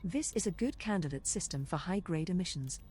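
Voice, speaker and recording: Woman as a dark, mellow ship copilot. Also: on the low side, animated, brisk, a little hiss or hum, natural voice. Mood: matter-of-fact. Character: female ship computer copilot, relaxed and irreverent. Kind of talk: scripted game companion voice lines.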